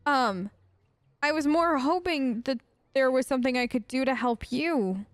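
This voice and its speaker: A woman's voice: high-pitched, a little slow, no room sound. Chatty woman gamer in a dry recording. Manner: chatty, wry, informal. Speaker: gaming video host. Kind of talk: let's play role-play, casual in-character dialogue.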